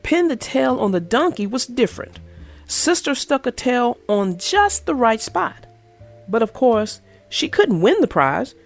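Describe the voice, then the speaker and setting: A woman reading a children's picture book aloud in an expressive, sing-song storytelling manner. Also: on the high side, measured pace, soft background music. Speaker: storybook reader. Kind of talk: children's read-aloud narration.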